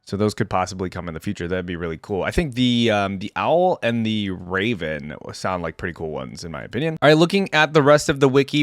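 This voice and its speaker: A man's voice: lowish voice, no room sound, clean studio sound. A man hosting a reaction video, casually commenting and reading lore aloud with informal, chatty asides. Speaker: video host, reaction content creator.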